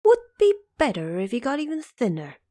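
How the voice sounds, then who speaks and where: A woman voicing a brash, cocky male monkey king in an audio drama, boastful and playful, jumping high on excited words. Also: a little fast, no echo, studio quality. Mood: pleased.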